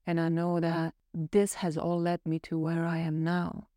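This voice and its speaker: A woman's voice: lowish voice, monotone, measured pace, mellow, no echo, studio quality. A woman from Austria whose first language is German, speaking English on a podcast and telling her own story in a reflective, earnest way. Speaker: podcast co-host. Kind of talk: casual podcast conversation.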